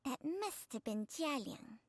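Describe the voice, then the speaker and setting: An actress playing a calm, reserved young woman in an anime video game. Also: high voice, slow, very noisy, full character voice. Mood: serious.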